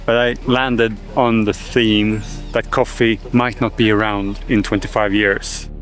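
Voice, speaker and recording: A man's voice: lowish voice, flat delivery, a little slow, fairly bright tone. A man narrating his filmmaking vlog with enthusiasm. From Sweden. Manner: enthusiastic, reflective. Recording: music playing underneath.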